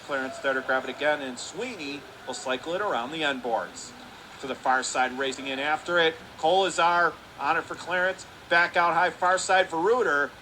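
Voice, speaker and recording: A man in a broadcast booth calling a hockey game play by play, with rapid, clipped calls that climb higher on shots and saves. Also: sharp tone, slight background noise, low-quality recording. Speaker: radio play-by-play announcer. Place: broadcast booth above an ice rink.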